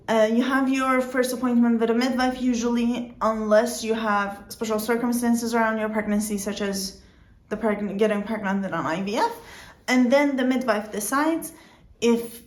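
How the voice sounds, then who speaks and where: Woman with a non-native English accent, roomy sound. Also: a little high. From outside the Netherlands. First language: not English. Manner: conversational, with fillers and self-corrections. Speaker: vlogger. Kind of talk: informal explainer vlog.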